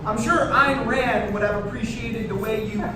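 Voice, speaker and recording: A woman's voice: a little high, normal speed, lightly acted. A woman playing a sensitive, sarcastic, jealous twenty-five-year-old party host in a staged play reading. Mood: wounded, sarcastic. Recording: echoey hall, distant noisy mic.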